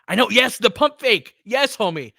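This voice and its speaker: A man hosts a fan basketball stream, calling the game in a bright, forceful voice. His pitch swings widely as he mixes play calls with slangy, casual reactions. The sound is dry and close. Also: animated, normal speed, gravelly, no room sound. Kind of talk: live basketball play-by-play commentary mixed with casual chat.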